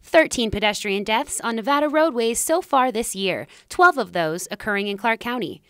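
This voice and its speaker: A woman's voice: fairly high pitch, animated, moderate pace, noisy background. A woman reporter delivering scripted news narration for a television package.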